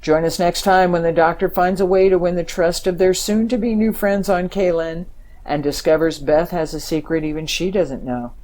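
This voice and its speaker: A male announcer reads scripted closing material for an audio drama. His voice is a bit high for a man, over faint music. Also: darkish tone. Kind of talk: scripted credits and episode announcement.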